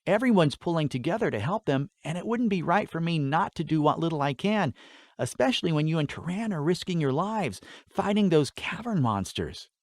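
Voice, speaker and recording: A man voicing a kind, earnest and bashful elf girl in a fantasy audiobook, gentle and eager. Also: fairly high pitch, sing-song, a little fast, dry recording, clean studio sound, voice pushed up. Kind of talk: fantasy audiobook character dialogue.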